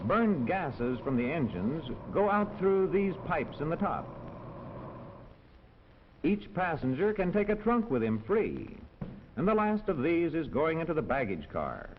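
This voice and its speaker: Man, 1940s educational narration, fairly high voice, measured and instructional, muffled hissy soundtrack. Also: sing-song. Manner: clear, measured, instructional delivery. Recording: muffled old film soundtrack with hiss.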